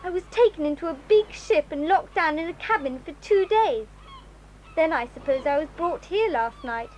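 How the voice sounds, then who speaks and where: A woman's voice: high voice, animated, moderate pace, slight background noise, rough recording. A woman with a British accent playing a frightened young girl who speaks timidly and earnestly.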